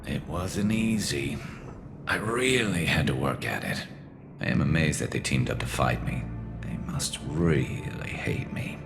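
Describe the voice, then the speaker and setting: A man playing a weary, wry alien vigilante sniper in a video game, speaking in a dry, laconic, gravelly way. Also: unhurried. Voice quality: gravelly, low. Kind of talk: video game cutscene acting. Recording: music behind the voice.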